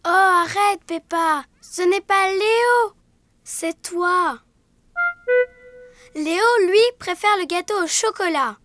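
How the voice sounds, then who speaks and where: A woman's voice: extremely high pitch, takes it slowly, pauses often, a bit bright, faint music behind, heavily acted. A performer voicing a bossy, imaginative little girl sheep in a preschool cartoon, childlike and insistent. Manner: childlike, insistent, matter-of-fact.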